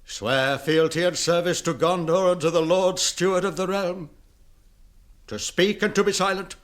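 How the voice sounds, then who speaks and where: An actor playing a stern, grieving old lord steward in British fantasy radio drama, speaking formally and imperiously with archaic phrasing. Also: male voice, sits high, steady tone, moderate pace, close to an everyday voice. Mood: solemn.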